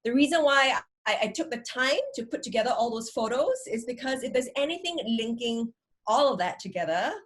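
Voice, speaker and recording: Energetic Singaporean woman giving a talk. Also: fairly high pitch, a little fast. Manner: energetic, upbeat. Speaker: workshop speaker. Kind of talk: online workshop presentation. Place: home room with virtual background. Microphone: video call.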